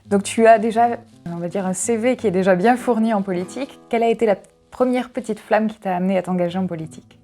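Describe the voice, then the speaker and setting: Une femme qui mène l'interview et pose ses questions sur un ton familier, en tutoyant son invitée. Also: female voice, medium pitch, fast, mellow, faint music behind. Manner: familiar, addresses guest informally. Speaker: interviewer. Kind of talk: friendly interview questions.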